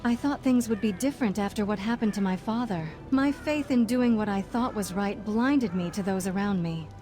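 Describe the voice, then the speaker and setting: An actress playing an earnest, resolute mage leader in a video game, measured and authoritative. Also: female voice, medium pitch, mellow, natural voice. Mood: somber. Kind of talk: video game character acting. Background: music.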